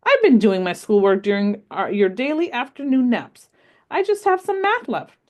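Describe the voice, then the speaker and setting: A woman voicing a curious, excited six-year-old girl in a read-aloud story, asking question after question. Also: normal speed, muffled recording. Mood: eager. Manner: high-pitched, eager questioning.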